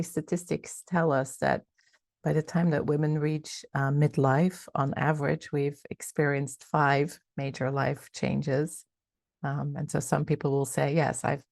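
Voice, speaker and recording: Low, gravelly woman from Belgium. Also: darkish tone, dry recording, clean studio sound. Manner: reflective, measured, with many fillers and restarts. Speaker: guest, coach and founder. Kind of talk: casual interview talk.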